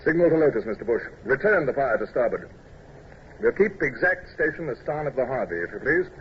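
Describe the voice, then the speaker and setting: An actor playing a middle-aged British naval commodore in an old-time radio drama, clipped, commanding and urbane, who also narrates the battle. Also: male voice, mid-range voice, lively intonation, a little fast, close to an everyday voice. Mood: brisk, wry. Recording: dull, noisy old radio broadcast recording.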